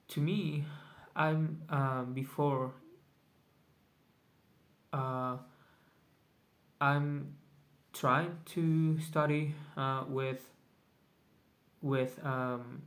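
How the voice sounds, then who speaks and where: A man whose first language is Spanish talks through a casual video journal in English at a crawl. He hesitates constantly, stopping to hunt for the right words. His voice sits at an ordinary middle pitch. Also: fairly bright tone. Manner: hesitant, searching for English words, many pauses; very slow, halting speech. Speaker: vlogger.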